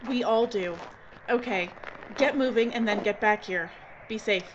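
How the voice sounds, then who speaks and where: A woman's voice: mid-range voice, unhurried, lightly acted. A woman playing a tense, bossy filmmaker in a horror adventure drama, talking in clipped, urgent commands. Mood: brisk, commanding. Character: tense, bossy female filmmaker trapped in a frozen station. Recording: dull audio with loud background noise.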